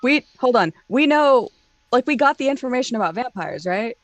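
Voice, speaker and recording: A woman's voice: a little high, expressive, normal speed. A woman talking casually as a player in a tabletop role-playing game. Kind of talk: casual chat.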